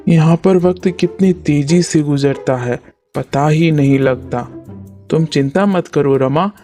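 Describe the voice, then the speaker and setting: A woman acting a mellow, weary cartoon husband. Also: deep voice, a little slow. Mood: dry, placating. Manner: placating, dry grumbling. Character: weary, placating husband and master of the house. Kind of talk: Hindi cartoon story dialogue. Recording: faint music behind the voice.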